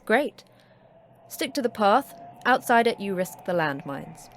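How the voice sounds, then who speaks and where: An actress playing a terse, sardonic manager of a secret polar facility in an audio drama, with a dry, curt delivery. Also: female voice, medium pitch, very animated, measured pace. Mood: brusque, dry. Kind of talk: audio fiction acting.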